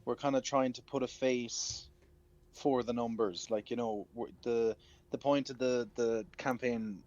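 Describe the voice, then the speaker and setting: A man's voice: medium pitch, steady tone, measured pace, a little mellow. A man with an Irish accent chatting casually as a musician guest interviewed over the telephone. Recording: dull lo-fi phone line.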